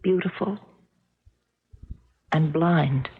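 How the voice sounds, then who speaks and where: An actress playing an earnest, dreamy middle-aged American housewife in a 1970s radio drama, speaking hesitantly in hushed phrases with pauses. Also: female voice, low-pitched, husky, exaggerated voice. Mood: tender wonder. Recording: muffled old 1970s radio recording.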